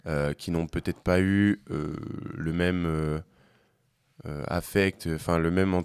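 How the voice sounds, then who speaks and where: Deep-voiced man with a cold, hesitant. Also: fairly even intonation, slow pace, darkish tone, smooth, dry recording, clean studio sound. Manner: hesitant, many fillers and restarts. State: has a cold. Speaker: podcast co-host. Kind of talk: casual chat over a meal.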